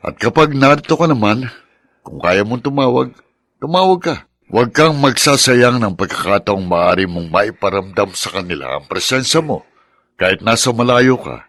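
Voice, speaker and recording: A fatherly military captain in a Filipino radio drama. His voice is fairly low and close, and he speaks frankly with a Filipino accent, like a senior officer advising his men. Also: expressive, normal speed, fairly bright tone, no echo. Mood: friendly, advising. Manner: conversational, counselling.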